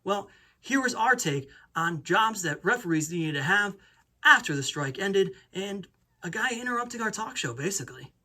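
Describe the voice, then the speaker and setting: Male talk show host, slightly high voice, casual interviewing and presenting. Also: expressive, moderate pace, bright, forceful tone.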